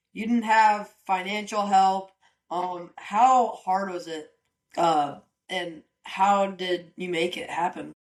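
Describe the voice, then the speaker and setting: A host asking short, upbeat interview questions in a casual way. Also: mid-range voice.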